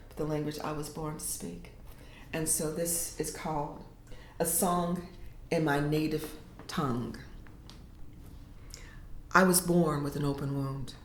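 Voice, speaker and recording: Low-voiced woman reading poetry. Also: a little hiss or hum. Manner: slow, dramatic reading with hushed whispered lines. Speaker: poet. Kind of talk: poetry reading and casual interview. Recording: echoey room.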